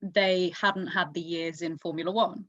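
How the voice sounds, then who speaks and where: A woman in casual, excitable conversation, reviewing races as a podcast host. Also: lowish voice, moderate pace, no echo, muffled recording. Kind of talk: casual race review chat.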